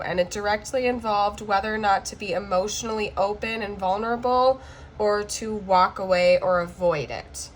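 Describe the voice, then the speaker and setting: Hoarse, flu-cracked woman reading tarot. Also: medium pitch, a little hiss or hum. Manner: chatty, checks in with frequent "okay?". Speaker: tarot card reader. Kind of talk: casual tarot reading to camera.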